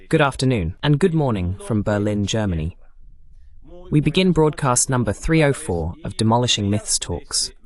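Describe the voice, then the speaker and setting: A man hosting a political talk show, speaking in a formal, measured announcer style as he introduces and moderates. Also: on the low side. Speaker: host. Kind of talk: scripted introduction and moderating questions, translated voice-over.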